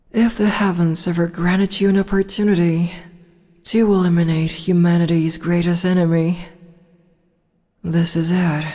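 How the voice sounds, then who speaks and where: A woman voicing a commanding, teasing demon empress in an ASMR audio roleplay, speaking in slow, low whispers. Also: warm tone, in a large hall. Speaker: voice actress.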